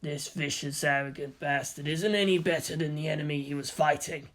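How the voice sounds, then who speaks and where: Narrator doing an angry teenage jock, gruff, lowered voice, stubborn, confrontational, storybook bully. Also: fairly even intonation, brisk, a bit bright, close to an everyday voice. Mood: bitter anger.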